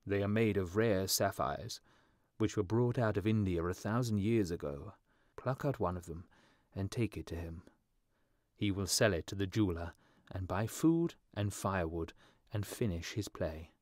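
A gentle statue prince who talks slowly and sorrowfully, put on by a man narrating. His pleading words come out soft and unhurried, and the sound is close and dry. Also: sits low, no echo, close to an everyday voice. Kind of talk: storybook reading.

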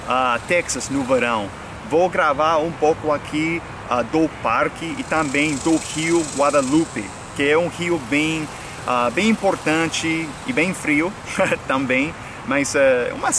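A young American man, a native English speaker, chatting casually to the camera in a sunny park, relaxed with lots of fillers. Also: a little high. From United States. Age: young adult. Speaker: vlogger and English teacher. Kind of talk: casual outdoor vlog. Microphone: camera mic at arm's length. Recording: outdoor noise from traffic.

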